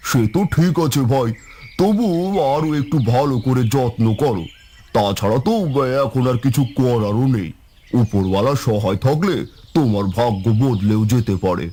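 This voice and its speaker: A reassuring cartoon villager, played by a man who advises calmly. His voice is mid-pitched, mellow and rather husky, with a friendly, encouraging manner. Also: moderate pace, lightly acted. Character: reassuring village neighbour farmer, friendly and calm. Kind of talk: cartoon voice acting.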